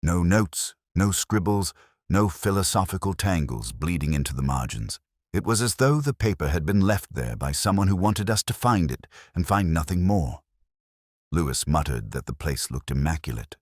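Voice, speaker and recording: A man with an extremely deep voice narrates a detective drama in the first person. His delivery is measured and wistful, with plenty of rise and fall in the phrasing. The sound is dry, with no room around it. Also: very animated, no room sound. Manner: measured, melancholic, introspective.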